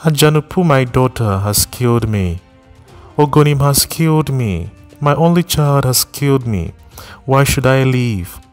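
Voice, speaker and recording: A male narrator plays a desolate young mother in a spoken storybook. Her speech is anguished and despairing, pitched high above the narrator's own voice. Faint music sits behind. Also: animated, unhurried, natural voice.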